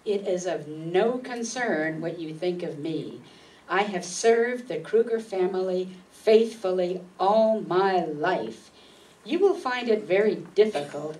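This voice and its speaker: A woman's voice: on the low side, lively intonation, measured pace, darkish tone. A woman playing a proud, proper elderly spinster secretary, haughty and scolding, in an amateur radio play. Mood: stern, haughty. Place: meeting room stage before an audience. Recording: distant mic, faint room noise.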